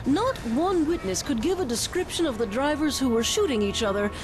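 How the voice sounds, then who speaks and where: An actress playing a polished, straight-faced news anchor giving a deadpan parody report in a video game. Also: female voice, sits high, a little fast, over music. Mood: mock serious. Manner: deadpan newsreader delivery.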